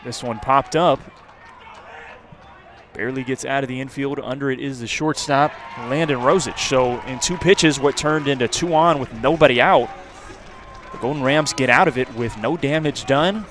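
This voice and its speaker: A man's voice: mid-range voice, normal speed, fairly bright tone. A man calling a baseball game live as a radio play-by-play announcer. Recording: outdoor ballpark crowd and ambient noise. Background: ballpark crowd.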